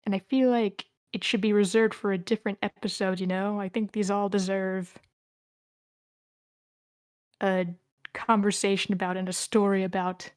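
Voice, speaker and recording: A woman's voice: mid-range voice, little pitch movement, normal speed, long pauses, clean tone, no room sound, clean studio sound. A woman sharing opinions in an informal group discussion, conversational and a little tentative. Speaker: participant giving script feedback.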